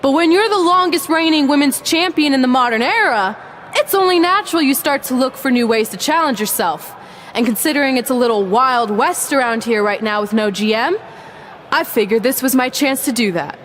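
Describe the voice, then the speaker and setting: A woman's voice: high voice, fairly quick, fairly bright tone, slight background noise. An actress playing a confident young female champion wrestler, self-assured and conversational. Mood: proud, confident.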